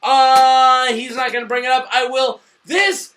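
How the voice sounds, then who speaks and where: A drunk man co-hosting a casual, sweary movie review, excitable and given to shouting. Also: very high voice, measured pace.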